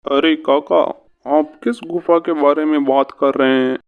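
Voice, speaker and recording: A man playing an earnest, brave and curious young village son in a cartoon fairy tale, with deliberate storybook delivery. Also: on the high side, fairly even intonation, clear, resonant tone, narrow, tinny audio. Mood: surprised, curious.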